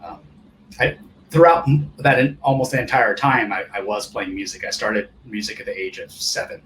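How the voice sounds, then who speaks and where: A man in his forties who grew up speaking Japanese, telling stories of his past in a relaxed, rambling way as a guest on an interview show. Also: on the low side, moderate pace, a little hiss or hum, low-quality recording. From California, United States. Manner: relaxed, rambling storyteller with many restarts. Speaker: guest percussionist. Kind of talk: casual interview chat.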